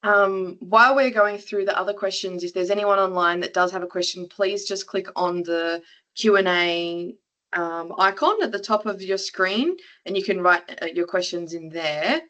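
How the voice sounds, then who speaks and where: A woman's voice: lowish voice, moderate pace, fairly bright tone, lo-fi sound. A woman moderating an online webinar over a video call, upbeat as she reads out audience answers and questions.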